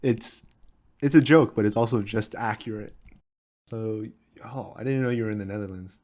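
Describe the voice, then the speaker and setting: Chatty, laughing young podcast host. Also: male voice, lowish voice, moderate pace, darkish tone. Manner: upbeat, laughs often, lots of fillers. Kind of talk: casual chat.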